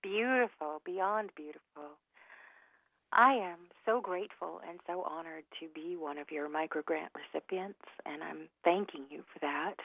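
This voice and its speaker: A woman's voice: fairly low pitch, moderate pace, vocal fry, no room sound, narrow, tinny audio. A woman hosting an interview, effusive and formal in her thanks and greetings. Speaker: host.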